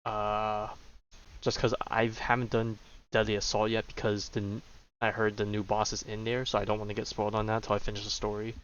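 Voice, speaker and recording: Low-voiced man streaming games casually. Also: monotone, fairly quick, a little hiss or hum. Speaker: game streamer. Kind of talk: casual livestream commentary. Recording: slightly dull, lo-fi mic. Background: game music.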